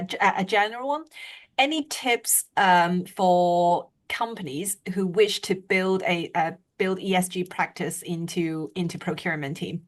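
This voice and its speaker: A woman moderating a webinar Q&A, speaking hesitantly with many "uh" and "um" fillers. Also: on the low side.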